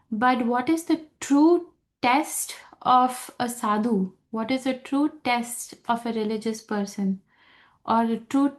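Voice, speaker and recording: A woman teaches a scripture class at an unhurried, deliberate pace. Her voice sits a little high, and she often pauses, restarts and reaches for words as she explains. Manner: slow, searching, many fillers and restarts. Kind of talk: unscripted talk on scripture.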